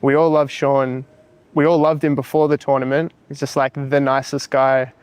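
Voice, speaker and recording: A man, a futsal player, answering interview questions in a measured way with many pauses and ums. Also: mid-range voice, flat delivery, a little mellow, clear, resonant tone, no echo.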